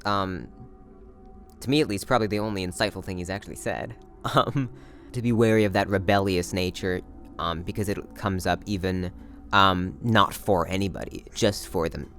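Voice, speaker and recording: Guy casually explaining a play character, kinda hesitant, thinking out loud, music in the back. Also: sits low, normal speed. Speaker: actor discussing a play.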